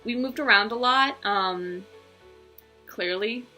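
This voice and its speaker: A woman's voice: medium pitch, slow pace, pushed and bright, faint music behind. A woman in her twenties with an American accent, talking casually and chattily to her channel's viewers, breaking off into small asides. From England, United States and Belgium. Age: 20s. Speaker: video channel host. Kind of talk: casual personal vlog talk.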